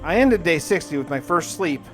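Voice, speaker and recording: Male game narrator, slightly high voice, conversational in-character survival diary, thinks out loud. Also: lively intonation, normal speed, faint music behind. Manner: conversational, musing aloud with rhetorical questions. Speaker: gaming video narrator and player.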